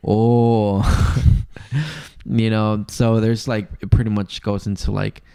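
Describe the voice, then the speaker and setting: Man, from mexico, gravelly, smooth resonant voice, rambling podcast chat. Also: lowish voice, flat delivery, takes it slowly, warm tone. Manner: laid-back, rambling, swears freely. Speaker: podcast co-host. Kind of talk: casual chat.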